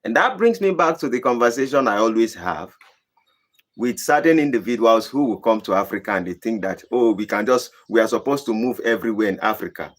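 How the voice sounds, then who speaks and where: A man from Nigeria with a Nigerian accent, hosting a live stream and answering viewer questions in a deliberate, emphatic way. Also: lively intonation. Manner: deliberate, emphatic, rising to high emphatic bursts. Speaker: channel host.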